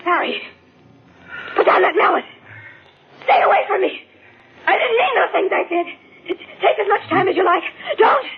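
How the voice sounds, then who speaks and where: An actress playing a domineering, impatient wife in an old-time radio crime drama, with a sharp, goading, melodramatic delivery. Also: female voice, extremely high pitch, lively intonation, moderate pace, long pauses, dull audio. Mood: terrified. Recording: thin, noisy vintage radio recording.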